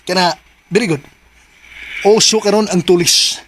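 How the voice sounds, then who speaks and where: Radio drama role: fussy, scheming Filipino son, very high theatrical voice, noisy recording. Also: male voice, a little slow, a bit bright. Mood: bossy. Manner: theatrical, repeats orders insistently.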